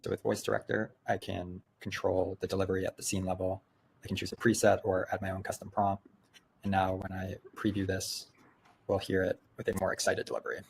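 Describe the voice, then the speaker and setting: A man narrating a quick software demo in a flat, matter-of-fact way. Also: low-pitched, vocal fry, slight background noise. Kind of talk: screen-recorded demo narration.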